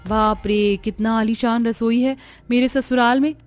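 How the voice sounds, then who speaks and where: A woman playing a humble, wide-eyed young bride, high-pitched. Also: fairly even intonation, measured pace, a little mellow, no echo, soft background music, lightly acted. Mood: awestruck. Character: gentle, humble young village bride, easily amazed.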